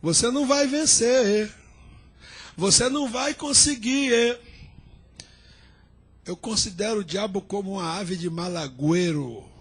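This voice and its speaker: A middle-aged male pastor preaching a fiery sermon in a church, shouting and chanting in a sing-song way as he calls on the congregation. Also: high-pitched, a little slow, pauses often, slightly rough.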